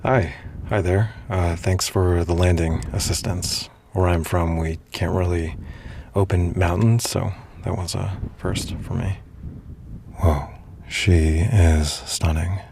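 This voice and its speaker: Man playing a gravelly, awkward space commander. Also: steady tone, a little mellow, slight background noise, heavily acted. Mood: awkward, smitten. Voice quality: low, gravelly. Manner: halting, stammering, nervously muttering to himself. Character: awkward, earnest space commander, self-deprecating emissary, smitten. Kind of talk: immersive first-person audio drama.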